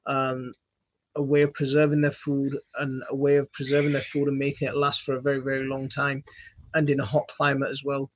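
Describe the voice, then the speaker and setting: A man in his thirties with a Yorkshire accent talks his way through viewer comments, rambling and full of fillers. His voice sits at an ordinary pitch for a man. It is captured on a phone, sounding muffled and dry with no room echo. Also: completely flat delivery, fairly quick. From Leeds, Yorkshire, England. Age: 30s. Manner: rambling and chatty, with many fillers and enthusiastic repeats. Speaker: gardening channel host. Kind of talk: casual live-stream chat answering viewer comments.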